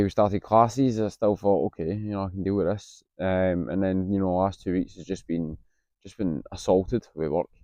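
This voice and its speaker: Scottish guy late 20s vlogging outside, husky and low, kinda muffled sound, lots of ums. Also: dark tone, no echo. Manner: hesitant, lots of ums, candid and reflective. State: husky from being unwell. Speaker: vlogger, university student. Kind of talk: casual vlog to camera. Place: outdoors on a campus.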